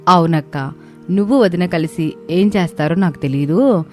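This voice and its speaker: A woman's voice: lowish voice, normal speed, a little mellow, soft background music, close to an everyday voice. A woman voicing a worried, deferential younger daughter-in-law with a plaintive manner in a family story. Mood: upset. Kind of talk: dramatised moral story dialogue.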